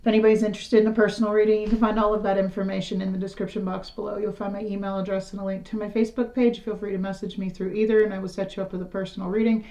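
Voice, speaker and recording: Woman, middle-aged, raspy and a bit hoarse, frank rambling tarot chat, mid-pitched voice. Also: flat delivery, rapid-fire, few pauses, dark tone, low-quality recording. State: slightly hoarse after illness. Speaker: tarot reader. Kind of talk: casual tarot reading to camera.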